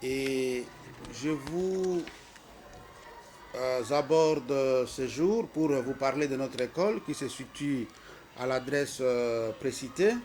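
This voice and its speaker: Congolese man, middle-aged, slow hesitant speech with a preacher's emphasis, faint noise under the recording. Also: medium pitch, a little mellow. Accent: Congolese French. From Congo-Kinshasa. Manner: hesitant, many fillers. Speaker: school representative. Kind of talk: formal address presenting a school.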